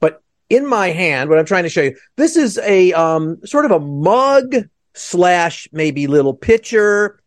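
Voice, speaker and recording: A man's voice: high voice, very animated, unhurried. A man hosting a podcast interview with enthusiasm and joking asides. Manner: jokey asides. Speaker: podcast host.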